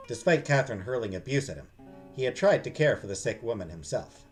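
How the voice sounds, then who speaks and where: A man's voice: medium pitch, sing-song, fairly quick. A man narrating a visual novel aloud in a dramatic storytelling style as a game streamer. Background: music.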